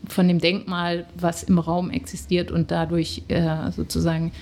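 A woman's voice: lowish voice, completely flat delivery, normal speed, darkish tone, slight background noise. A middle-aged woman from Germany, a guest telling her story in casual conversation, hesitant with many fillers.

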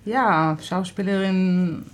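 Israeli woman, slow hesitant German. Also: lowish voice. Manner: slow, hesitant German with many fillers, laughs often. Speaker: actress. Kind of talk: radio studio interview. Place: radio studio.

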